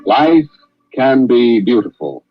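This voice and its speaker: A man's voice: medium pitch, sing-song, slow, dull audio, faint music behind. A man reading a scripted introduction as a 1940s radio serial announcer, on an old radio recording.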